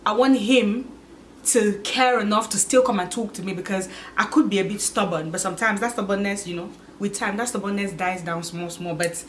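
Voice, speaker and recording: A woman in her twenties talking candidly to her channel, musing aloud and filling pauses with "like" and "you get it?". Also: medium pitch, a little hiss or hum. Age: 20s. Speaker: vlogger. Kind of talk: casual confessional vlog.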